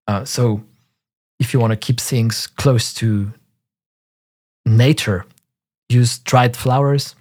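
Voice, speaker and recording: A man with a thick French accent hosting a solo podcast, talking in a relaxed, halting way with frequent restarts. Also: mid-range voice. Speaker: podcast host.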